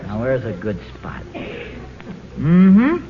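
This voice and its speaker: A man's voice: mid-range voice, huge pitch swings, slow. An actor playing a mild, bumbling suburban father in an old radio sitcom, hesitant and rambling, mildly exasperated. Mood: sheepish coaxing. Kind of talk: 1940s-50s radio sitcom acting. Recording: dull, hissy old radio recording.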